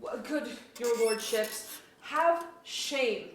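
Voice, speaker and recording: A woman's voice: on the high side, expressive, very slow. A woman playing a proud, hasty young fairy sprite in love, in a staged reading of an archaic verse play, with declamatory diction. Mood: proud, urgent. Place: museum auditorium staged reading. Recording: echoey auditorium from far off, noisy.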